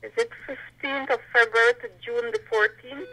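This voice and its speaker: Bright woman calling in by phone. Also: high voice, sing-song, moderate pace. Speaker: radio phone-in caller. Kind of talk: brief answers on a live call. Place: on a phone call. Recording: thin distant telephone line into radio.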